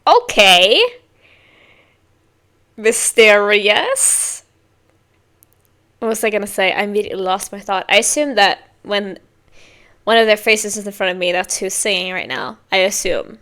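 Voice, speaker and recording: A woman hosting a music reaction video, talking casually in rambling, filler-heavy sentences with bursts of excitement. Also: medium pitch, a little slow, pauses often. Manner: chatty, rambling, many likes and you-know-what-I-means.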